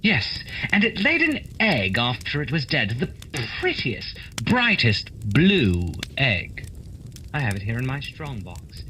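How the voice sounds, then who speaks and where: Guy acting a knowing gentleman detective, bright voice, very expressive, radio drama. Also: medium pitch, slow pace, slight background noise, full character voice, rough recording. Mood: knowing, theatrical. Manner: knowing, precise, theatrical. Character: shrewd, commanding, self-assured male gentleman detective. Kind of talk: holiday radio play acting.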